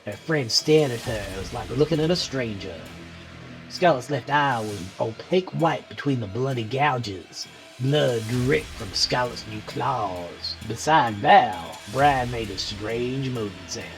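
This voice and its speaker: Woman doing scripted horror narration, medium-low voice, music clearly behind her. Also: measured pace, poor audio.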